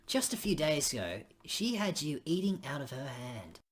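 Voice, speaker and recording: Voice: mid-range voice, big pitch swings, moderate pace, husky, pitched above the performer's own voice. A woman voicing a chatty, blokey young friend in a visual novel, teasing and full of Australian slang.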